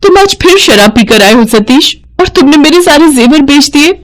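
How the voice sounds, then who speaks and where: A woman's voice: high-pitched, expressive, moderate pace. A woman playing a headstrong, wronged young wife and mother in an emotional, melodramatic cartoon story. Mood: angry, reproachful. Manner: emotional, pleading.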